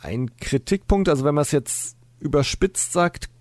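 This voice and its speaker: A man's voice: on the high side, animated, moderate pace, no echo. A man talking as a podcast guest in a casual, conversational way, thinking aloud as he goes.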